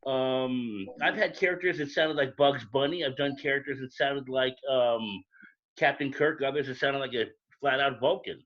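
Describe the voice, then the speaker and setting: A man's voice: mid-range voice, normal speed. Plain-spoken man, dull stream audio. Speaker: volunteer voice actor on a panel. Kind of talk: casual, plain-spoken chat.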